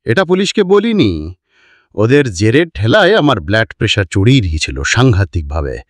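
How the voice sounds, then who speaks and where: A man's voice: fairly low pitch, big pitch swings, moderate pace, no echo, clean studio sound. A man voicing an elderly antique collector in a story, with a harsh, crabby voice and English phrases mixed into his speech. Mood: confiding. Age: older. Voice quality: harsh, grating. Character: crabby old male antique collector, impressed by learning.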